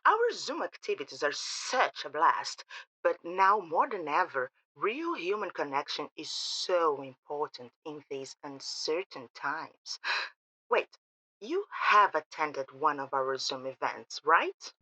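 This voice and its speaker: A woman's voice: low voice, very animated, slow pace, bright, forceful tone, breathy edge, no room sound, heavily acted. A woman playing a saccharine, gushing receptionist for a secretive organization in an audio drama, with a syrupy sales manner, over a phone call. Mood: gushing, persuasive. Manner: effusive, sales-like, syrupy familiarity.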